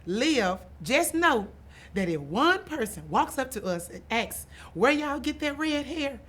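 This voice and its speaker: A woman's voice: high voice, sing-song, measured pace, fairly bright tone, voice pushed up, exaggerated voice. A woman voicing a fed-up, outspoken young girl from a storybook, exclaiming emphatically. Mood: exasperated.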